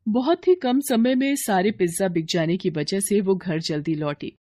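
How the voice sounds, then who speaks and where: A woman's voice: medium pitch, normal speed, dark tone. A woman reading a scripted children's moral story as narrator.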